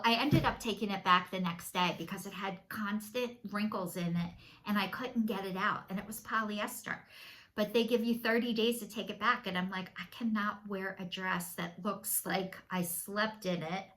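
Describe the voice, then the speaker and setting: A woman's voice: medium pitch, pushed and bright, rough recording. A woman, chatting candidly and self-deprecatingly to camera from her home. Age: 50s. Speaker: vlogger. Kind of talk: casual chat to camera.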